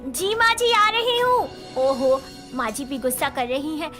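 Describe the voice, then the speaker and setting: A woman playing a gentle, patient elder sister-in-law in a cartoon moral story, speaking in a mild, earnest way. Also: high voice, unhurried, over music. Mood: flustered. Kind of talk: animated Hindi moral story dialogue.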